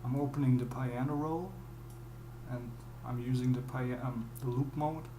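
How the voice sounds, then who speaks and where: A young man with a German accent, a non-native English speaker, explaining step by step in a halting, word-searching way how he builds a beat at his computer. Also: mid-range voice, fairly even intonation, background noise. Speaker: music producer. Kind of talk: screen-recorded beatmaking tutorial. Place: dim small room at home. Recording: roomy with piano playing behind.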